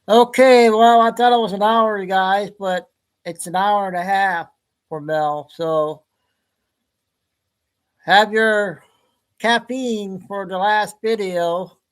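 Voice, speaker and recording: A male host chatting casually with viewers in a relaxed, offhand way. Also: sits high, slow, long pauses, clear, resonant tone.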